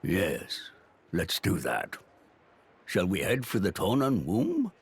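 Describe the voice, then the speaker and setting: A man playing a gentle old talking beast in a video game, with a gravelly, husky voice and a folksy, nostalgic way of talking. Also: deep voice, huge pitch swings, heavily acted. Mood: resigned. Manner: slow, folksy, unhurried. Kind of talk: video game cutscene acting.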